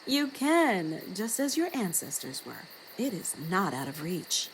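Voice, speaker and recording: A woman voicing a wise, gentle old grandmother in a storybook, speaking in a measured, instructive way. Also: lowish voice, big pitch swings, a little hiss or hum, poor audio. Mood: fond, reassuring.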